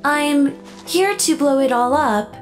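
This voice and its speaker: A woman voicing a haughty, naive alien girl in a cartoon, with formal, deliberate phrasing. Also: high voice, faint music behind. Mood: curious, earnest. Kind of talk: animated comedy dialogue.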